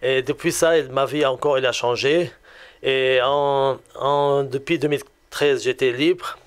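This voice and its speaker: Male guest, Lebanese accent, clear resonant voice, mid pitch, halting testimony delivery. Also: fairly even intonation. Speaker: guest giving a religious testimony. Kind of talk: personal testimony in conversation.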